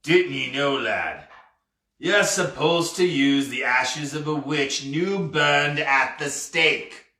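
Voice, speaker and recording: A man acts out a ghostly pirate captain for a storybook, burly, sly and full of bluster. He drags out his archaic seafaring phrases very deliberately, with a bright, forceful edge. The sound is roomy and set back from the mic. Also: a little high, animated, heavily acted. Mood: suspicious, probing. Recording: roomy, echoey, distant mic.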